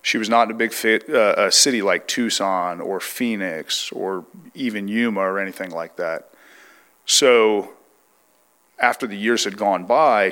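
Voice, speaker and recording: A man's voice: fairly low pitch, fairly bright tone. A young man from California, congested and under the weather, telling his life story in a plain, reflective way. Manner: reflective, plain-spoken, restarts often. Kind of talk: testimony interview.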